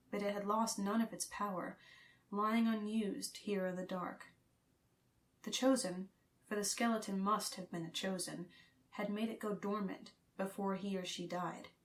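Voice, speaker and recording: Woman narrating a fantasy audiobook, medium pitch. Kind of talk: scripted dramatic fiction narration.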